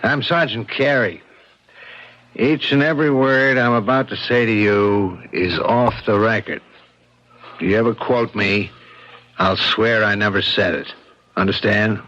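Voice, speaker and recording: An actor playing a wise, cynical, experienced police sergeant in an old-time radio drama, talking plainly and confidingly. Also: male voice, fairly low pitch, a little slow, fairly bright tone. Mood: confiding, firm. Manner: plain-spoken, confiding, world-weary. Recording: dull lo-fi radio drama recording.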